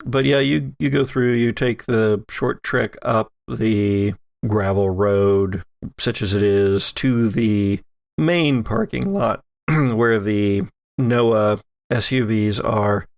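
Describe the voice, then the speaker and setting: Halting male game master, close dry sound. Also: on the low side, gravelly, no room sound. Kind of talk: narrating a tabletop roleplaying game, conversational.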